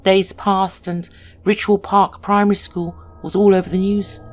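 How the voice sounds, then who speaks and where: A woman reading a scripted first-person horror story in a measured, dramatic, suspenseful way. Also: medium pitch, little pitch movement, soft background music.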